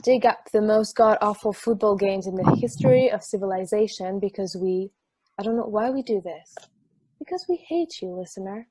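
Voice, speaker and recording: A woman's voice: mid-range voice, moderate pace, warm tone, breathy edge, dry recording, natural voice, rough recording. A woman playing a jaded, sardonic sports podcast host in a casual table read. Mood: mock contempt.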